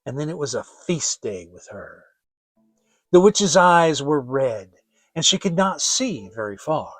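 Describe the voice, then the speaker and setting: A man giving an expressive, theatrical audiobook reading of a fairy tale as storyteller. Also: a little high, measured pace, no echo.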